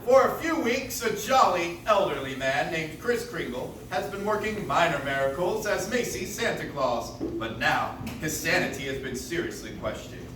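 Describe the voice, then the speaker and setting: An actor playing a dramatic 1940s radio host narrating a play in measured phrases. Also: male voice, a little high, animated, lightly acted. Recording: echoey, distant, noisy audience-member recording.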